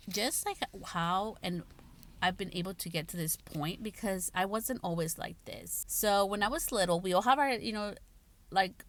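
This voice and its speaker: A woman's voice: medium pitch, creaky, a bit bright. A woman in her late thirties with a Guatemalan Spanish accent, hosting a podcast and talking candidly in casual, swear-heavy chat. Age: 30s. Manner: candid, frequent swearing and filler likes. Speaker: podcast host. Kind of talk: casual personal talk.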